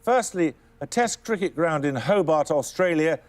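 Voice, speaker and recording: A male quiz host with a fairly high, lively voice reads out questions crisply and formally. He has a dry edge as he moves the teams along. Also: moderate pace.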